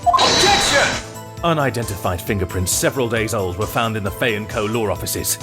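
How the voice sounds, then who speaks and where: A man's voice: lowish voice, sing-song, moderate pace, loud music behind the voice. Haughty, declamatory male prosecutor played by an actor. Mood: assertive. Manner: formal, pompous, crisply declamatory. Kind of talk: dramatised video game voice acting.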